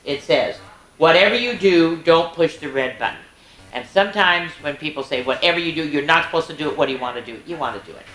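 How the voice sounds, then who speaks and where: A woman hosting story time for children, reading and singing picture books with chatty, animated asides to her young listeners. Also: sits low, fast talker. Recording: echoey room.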